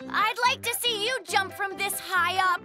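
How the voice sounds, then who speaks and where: A woman's voice: very high-pitched, moderate pace. A woman voicing an anxious but proud little male polar bear cub in a children's cartoon, hesitant and defensive. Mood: nervous, defensive. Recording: loud music behind the voice.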